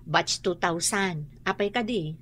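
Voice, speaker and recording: A woman's voice: lowish voice, measured pace, a bit bright. A woman acting in an Ilocano radio drama as a self-conscious, bitter woman ashamed of her humble life, speaking with an Ilocano accent in a reluctant, complaining way.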